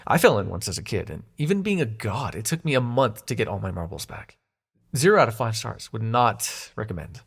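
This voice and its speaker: A man's voice: on the low side, sing-song, fairly quick, gravelly, slightly rough, heavily acted. A man acting in an intimate audio roleplay as a young god of dreams, sarcastic and wounded but fond, talking in casual, sweary modern slang. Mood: relaxed, wry.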